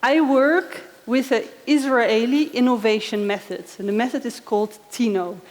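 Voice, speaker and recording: A woman's voice: on the high side, normal speed. Dutch-accented woman speaking in a big echoey hall. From Netherlands. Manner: friendly, engaging, asks the audience questions. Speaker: conference speaker. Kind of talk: conference keynote talk. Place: large conference hall.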